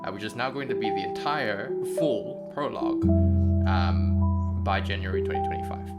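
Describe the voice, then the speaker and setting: A man's voice: on the low side, moderate pace, dull audio, over loud music. A man chatting casually on a solo devlog livestream as a game designer, rambling and self-deprecating with muttered asides. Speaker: game writer and designer, streamer.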